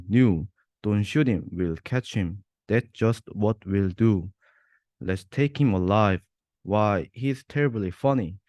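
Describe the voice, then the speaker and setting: A man's voice: fairly low pitch, a little mellow, dry recording, clean studio sound. A young man, a student, reading a rhyming children's story aloud. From South Korea. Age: young adult. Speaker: university student reader.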